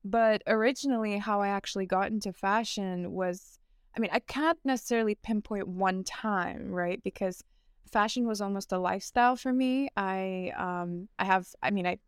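Smooth-voiced woman, dry close sound. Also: mid-range voice, normal speed, no room sound. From United States. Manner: earnest, many fillers and restarts. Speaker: interview guest, fashion founder. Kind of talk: casual interview answers.

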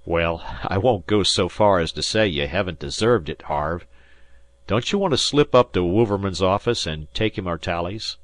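Man doing a folksy gruff schooner skipper, low-pitched. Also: expressive, normal speed, dry recording. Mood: approving. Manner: rustic, folksy turns of speech.

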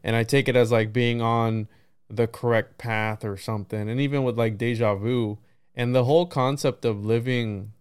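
A man with a clear, smooth voice talks in a loose, conversational podcast style. His delivery is rambling and reflective, with frequent little check-ins as he works through his thoughts. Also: medium pitch, fairly even intonation, moderate pace, darkish tone. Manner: rambling, musing, peppered with right and you know. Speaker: podcast host. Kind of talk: casual podcast conversation on esoteric ideas.

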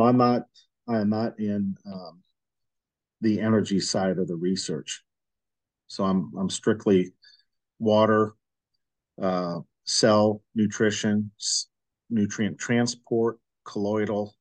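A man hosting a podcast in casual chat, speaking earnestly and haltingly with many fillers. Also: on the low side, a little mellow, dry recording. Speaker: podcast host. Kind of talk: casual conversational interview.

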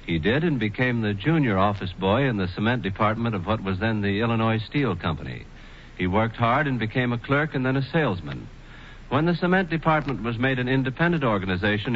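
Male sponsor spokesman, widely swinging intonation, measured and emphatic, muffled 1940s radio sound. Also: mid-range voice, slight background noise. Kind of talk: 1940s radio scripted narration.

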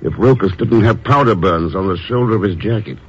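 An actor playing a cool, probing secret agent with a dry, clipped manner, in 1950s radio drama. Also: male voice, lowish voice, brisk, husky. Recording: muffled old radio recording, faint hiss.

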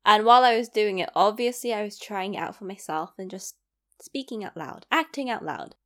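A woman's voice: medium pitch, fairly quick, fairly bright tone. A young woman from East London with a London accent, talking casually to the camera, self-deprecating and rambling with asides. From East London, England. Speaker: vlogger.

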